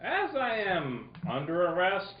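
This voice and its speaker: A man's voice: high voice, wildly swooping pitch, measured pace, lo-fi sound, slight background noise, full character voice. A man playing a bumbling, earnest detective in a live radio-play parody, recorded in an echoey room. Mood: earnest, pompous.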